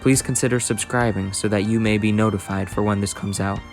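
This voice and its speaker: Low, flat-toned male announcer. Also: brisk, soft background music. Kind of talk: scripted closing announcement.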